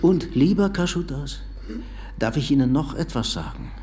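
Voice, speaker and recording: An actor playing an outwardly courteous but quietly menacing hatter in a radio play, speaking in a measured way. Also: male voice, fairly high pitch, lively intonation, over music. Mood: softly menacing.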